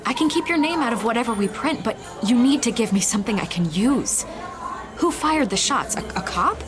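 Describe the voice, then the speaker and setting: A woman's voice: on the high side, lively intonation, normal speed, crowd noise, lightly acted. A woman voicing a probing newspaper reporter in a video game, insistent and coaxing. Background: music.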